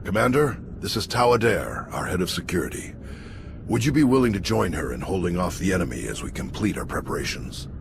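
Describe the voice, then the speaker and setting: Old jedi master in a game, kinda low voice, calm polite n measured, slight background noise. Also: male voice, gravelly, full character voice. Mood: calm, courteous. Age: older. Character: calm, courteous old male Jedi leader. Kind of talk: video game voice acting.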